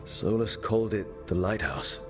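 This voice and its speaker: An actor playing a composed, pragmatic leader of adventurers in a video game, speaking in a measured, dry way. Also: male voice, fairly low pitch, lightly acted. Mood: matter-of-fact. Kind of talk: video game cutscene acting. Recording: game dialogue under clear background music.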